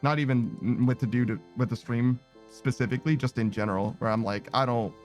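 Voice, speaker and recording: A man's voice: mid-range voice, steady tone, fairly quick, darkish tone. A young man streaming a game, rambling casually with many restarts and fillers. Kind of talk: casual chat while gaming. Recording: faint music behind.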